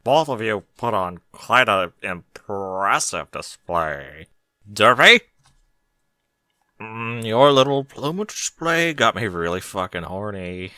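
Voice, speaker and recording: A man voicing a pushy, teasing female pony in a story, with drawn-out, commanding delivery and humming hesitations. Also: on the low side, lively intonation, sharp tone, dry recording, exaggerated voice. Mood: sultry, commanding. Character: brazen, pushy, lustful adult female pony.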